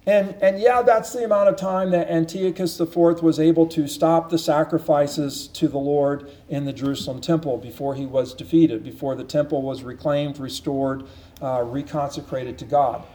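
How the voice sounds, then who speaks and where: A man with a high, mellow voice preaches in an earnest teaching tone. He presses his points through repetition and often restarts his phrases. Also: fairly even intonation, moderate pace. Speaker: pastor. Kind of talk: sermon Bible teaching.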